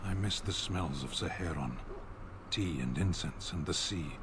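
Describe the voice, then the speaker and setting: An actor playing a big, grave, duty-bound Qunari warrior in a fantasy video game, speaking tersely and deadpan. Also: male voice, deep voice, unhurried, background noise. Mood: deadpan, wistful.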